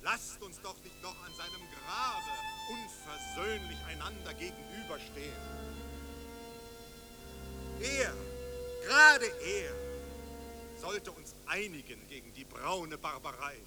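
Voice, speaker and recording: A man's voice: on the high side, animated, a little slow, over loud music. An actor playing a mournful male friend delivering an imploring, rhetorical eulogy in a radio play. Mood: pleading.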